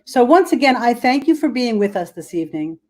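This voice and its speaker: A woman hosting a theater program, giving a measured, formal scripted welcome address. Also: fairly high pitch, big pitch swings, darkish tone. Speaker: theater chairperson and host.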